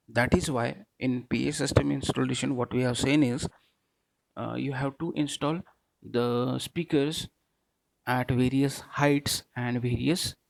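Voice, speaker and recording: A man's voice: medium pitch, creaky, no echo, poor audio. A man giving a technical lecture in a didactic way, often punctuating his points with short check-in words. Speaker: teacher, lecturer.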